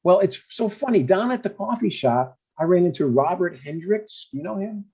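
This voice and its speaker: A man's voice: high-pitched, expressive, moderate pace, a little mellow, slightly rough, heavily acted. A man playing a chatty, stubborn older father in a comedy table read, in a conversational, mildly needling way. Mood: pleased, chatty.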